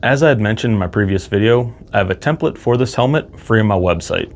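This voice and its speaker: A man's voice: lowish voice, animated, quick pace, soft background music. A man presenting a cosplay build in casual, explanatory narration. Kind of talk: casual tutorial narration with comedic skits.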